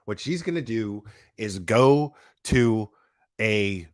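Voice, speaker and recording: A man's voice: fairly low pitch, lively intonation, takes it slowly. A man ranting in a casual reaction video, blunt and profane, with lots of restarts and slangy fillers. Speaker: online commentator.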